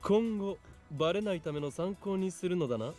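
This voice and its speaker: A man's voice: high-pitched, expressive, very fast, warm tone, soft background music. A man voicing an eccentric, mocking young lab leader in a Japanese visual novel, pompous and declamatory. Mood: scornful, lecturing.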